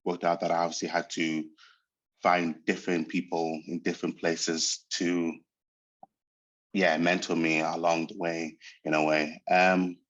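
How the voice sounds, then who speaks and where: A man reflects on his path as an actor, pausing often to search for words. He grew up speaking Portuguese first. The sound is dull and muffled. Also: low-pitched, little pitch movement, fairly bright tone. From Angola. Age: 30s. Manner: thoughtful, hesitant, searching for words. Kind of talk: reflective interview monologue.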